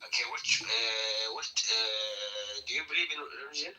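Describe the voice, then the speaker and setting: A man from Sweden whose first language is not English, speaking haltingly in broken English and repeating words as he explains his faith. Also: lowish voice, pushed and bright, faint music behind, low-quality recording.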